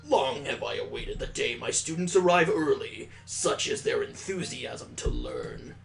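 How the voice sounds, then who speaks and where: A man's voice: mid-range voice, wildly swooping pitch, measured pace, gravelly, soft background music, pitched below the performer's own voice, full character voice. A man voicing an eccentric, dignified schoolmaster in a visual novel, talking in a stately, formal way. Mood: pleased.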